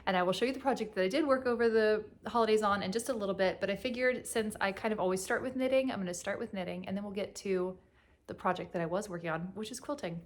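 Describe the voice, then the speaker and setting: A woman's voice: mid-range voice, fast. A young woman with a cold and a sniffly voice, rambling in a casual chat as host of a knitting podcast, with plenty of fillers. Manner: rambling, chatty, with frequent fillers and laughs.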